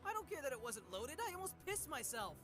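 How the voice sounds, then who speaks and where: Excitable, wildly animated young cadet. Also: male voice, very high voice, quick pace. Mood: alarmed. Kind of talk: anime visual novel voice acting. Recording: game music behind the voice.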